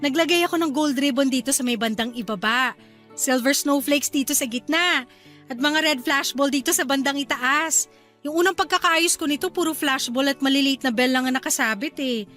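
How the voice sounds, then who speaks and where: An eager young Filipino wife and new mother in a radio drama. Her voice is high and cheerful, with music playing along. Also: a little fast, bright, forceful tone. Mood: proud, excited.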